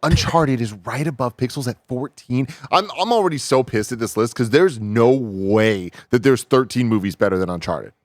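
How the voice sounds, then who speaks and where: A man's voice: mid-range voice, expressive, normal speed, hardly any pauses, no echo. A man in a casual podcast group chat, talking in a blunt, insistent, sweary way. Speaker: podcast panelist.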